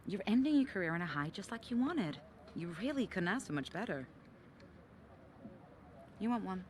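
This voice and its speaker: An actress playing a frank, supportive confidante of a racing driver in a video game, speaking conversationally. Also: female voice, medium pitch, sing-song, normal speed, slight background noise, lightly acted. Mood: encouraging. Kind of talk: cinematic video game dialogue.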